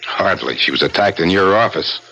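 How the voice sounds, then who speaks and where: An actor playing a blunt, businesslike police inspector in a 1970s radio drama. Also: male voice, low voice, a little fast, muffled recording. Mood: probing. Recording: faintly hissy old radio recording.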